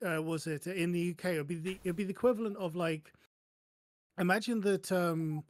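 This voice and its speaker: A man explaining things casually on a panel podcast, halting often and correcting himself mid-sentence. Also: high voice, fairly even intonation, no echo.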